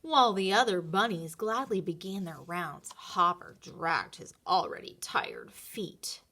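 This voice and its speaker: A woman narrating a children's story in an expressive read-aloud style. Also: fairly low pitch, a little slow.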